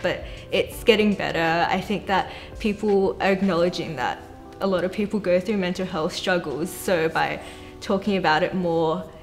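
Young woman talking in a studio group chat, kinda low voice, soft music behind, lots of "like". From Australia. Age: young adult. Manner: thoughtful, uses many fillers like "like". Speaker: panel guest. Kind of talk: casual group conversation. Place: bright studio with plants, indoors.

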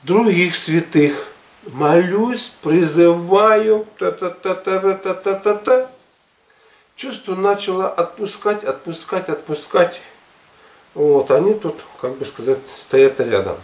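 A man in his sixties telling a story to his viewers in a colloquial, expressive way, repeating words for emphasis. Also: high-pitched, slow pace. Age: 60s. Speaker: health and gymnastics video blogger. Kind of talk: casual storytelling monologue to viewers. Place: living room at home, indoors. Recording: echoey room.

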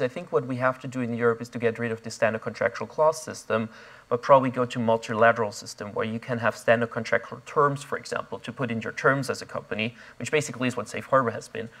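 A young man, speaking onstage at a conference, quick and restless with many fillers and restarts, recorded on a lapel mic. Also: mid-range voice, a bit bright. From Austria. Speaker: invited speaker. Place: conference stage before an audience.

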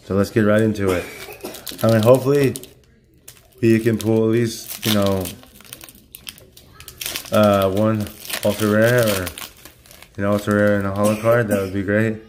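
A hoarse man, his voice giving out from illness, talks at a table at home in a flat, monotone way. He stops and restarts often, filling gaps with "uh" and "you know", in a casual home unboxing chat. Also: lowish voice, background noise. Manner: halting, many fillers and restarts, monotone. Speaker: card-opening channel host.

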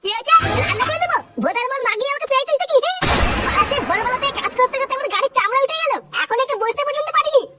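A man plays a worn-out, short-tempered mum in a Bengali cartoon. Her voice is extremely high and pitched up, complaining and cursing in a West Bengal accent, over loud noise. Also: expressive, racing. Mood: exasperated. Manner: complaining, swearing. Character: worn-out, short-tempered, foul-mouthed mother. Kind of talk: comic cartoon dialogue. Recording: noisy audio, distant from mic.

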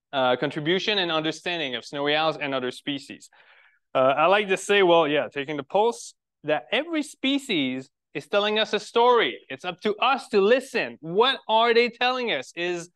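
A man's voice: sits high, a bit bright, clear, resonant tone. A man in his forties from Quebec with a French-Canadian accent, giving a friendly lecture to a birding club with rhetorical questions and restarted phrases. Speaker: biologist giving a public talk. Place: lecture room.

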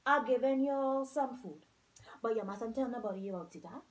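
A woman's voice: on the high side, normal speed, lightly acted. Woman doing a sly, fat, secretive villager. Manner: sly, evasive, chuckling. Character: fat, secretive, good-humoured village man.

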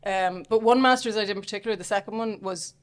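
A woman in her forties with a Dublin Irish accent speaks in a mid-range voice, thoughtful and self-deprecating. She often pauses on fillers and restarts her sentences. She is recorded close on a studio microphone in a small room. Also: mid-range voice, clean tone. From Dublin, Ireland. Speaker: podcast guest. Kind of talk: casual interview chat. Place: small podcast studio, indoors. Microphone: studio mic on a stand close up.

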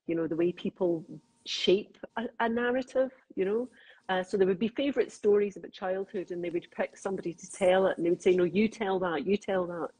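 Middle-aged Glaswegian woman, husky low voice, quick anecdotal interview guest. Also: dark tone, poor audio. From Scotland. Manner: chatty storyteller, quick, laughs often. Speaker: crime novelist guest. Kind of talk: casual conversational interview.

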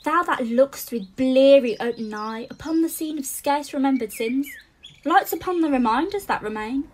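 A woman playing a solemn chorus, narrating poetic audio drama in a measured way. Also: high voice, expressive. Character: solemn female chorus narrating a grim dawn. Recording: faint noise, birdsong behind.

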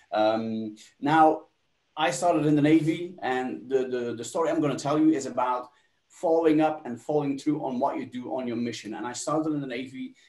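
A man's voice: mid-range voice, a little mellow, echoey room. A man, speaking at a webinar over a video call and telling his story with small hesitations. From Belgium. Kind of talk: webinar talk. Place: home office.

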